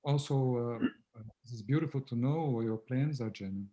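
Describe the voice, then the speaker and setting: A man whose first language is Portuguese, speaking softly and reflectively on a video call as a guest founder of a therapy method. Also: mid-range voice, moderate pace, muffled recording. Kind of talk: casual conversation on a video call.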